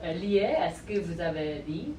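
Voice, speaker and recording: A woman from South Korea, a student interviewer, asking questions carefully and haltingly while searching for words. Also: on the low side, mellow. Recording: echoey room, distant mic, faint noise.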